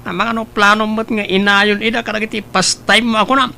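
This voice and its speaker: A very high, sharp male voice with gravelly creak , an actor's. He plays the chummy buddy who talks friends into things, in audio drama style, over faint noise. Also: little pitch movement, fast, exaggerated voice. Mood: chummy, advising. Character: chummy, persuasive young male matchmaking buddy. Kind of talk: Ilocano radio-style audio drama acting.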